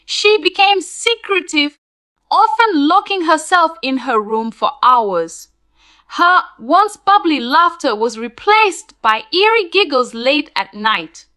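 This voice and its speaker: A woman narrating a spooky scripted tale in a dramatic, deliberate storytelling manner. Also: sits high, lively intonation, bright, forceful tone, dry recording, clean studio sound.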